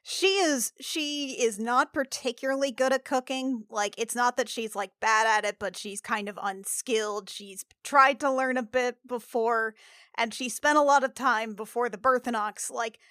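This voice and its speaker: Woman, fairly high voice, very dry recording, casual podcast chat, thinking aloud. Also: normal speed, bright, forceful tone, clear, resonant tone, dry recording. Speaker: podcast panelist.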